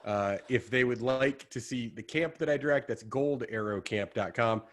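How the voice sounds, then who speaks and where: A man speaks as a guest on a podcast in a middle-pitched voice. He comes across as thoughtful and sincere, and he explains things plainly. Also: normal speed. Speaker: camp director, guest. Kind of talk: interview answer.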